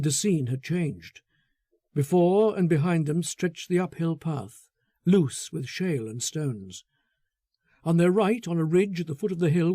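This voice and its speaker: Male literary narrator: dark, relaxed timbre, measured dramatic delivery, close dry sound. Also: a little high, no echo. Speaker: audiobook narrator. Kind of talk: literary scripted story narration.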